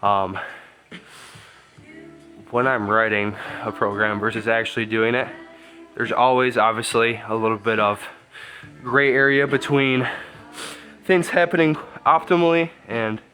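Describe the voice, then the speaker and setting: A congested man, a strength coach, chatting casually through a training vlog and thinking out loud. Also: medium pitch, fairly even intonation, a little slow, pauses often, soft background music. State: congested, stuffy nose.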